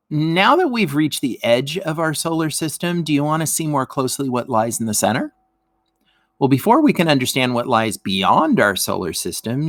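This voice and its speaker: A man reads an educational text aloud in a dry, close-sounding recording. His pitch moves up and down a lot as he explains things expressively to young listeners, like a teacher at read-aloud time. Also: no room sound. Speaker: teacher reading a lesson aloud.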